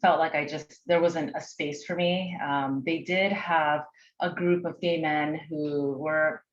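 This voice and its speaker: Low-voiced woman from Japan, dull audio. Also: fairly even intonation, takes it slowly, a little hiss or hum. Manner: soft-spoken, thoughtful, hesitant with many fillers. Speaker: panelist. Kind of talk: personal storytelling on a panel.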